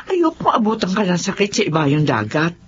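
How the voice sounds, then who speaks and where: A woman's voice: lowish voice, big pitch swings, a little fast, slightly rough, exaggerated voice. A woman with a Filipino accent playing a loving, repentant older mother in a radio drama. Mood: alarmed, anxious. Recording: muffled lo-fi radio, faint noise.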